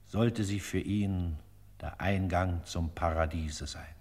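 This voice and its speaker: An actor playing a solemn eulogist in a radio play, declaiming in an exalted, ceremonious way with long reverb on the voice. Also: male voice, deep voice, slow pace, darkish tone. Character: solemn male eulogist praising a faithful old servant.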